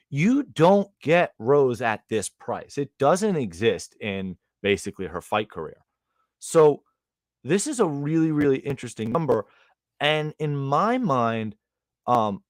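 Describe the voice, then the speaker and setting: A man co-hosting a podcast, breaking down fight betting lines in an animated, slangy way. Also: a little high, no echo, studio quality. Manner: animated, slangy, calls people dog. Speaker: podcast co-host, betting analyst. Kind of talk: casual sports betting chat.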